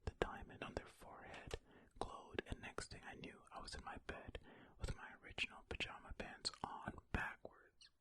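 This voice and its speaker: Bright, breathy whispering ASMR storyteller. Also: normal speed. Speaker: host of an ASMR storytelling channel. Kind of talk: ear-to-ear whispered ASMR, reading a story aloud with casual commentary. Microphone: close stereo ASMR microphone.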